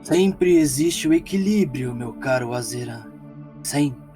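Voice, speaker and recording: Man playing a measured, grave fantasy sage. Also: mid-range voice, expressive, music in the background.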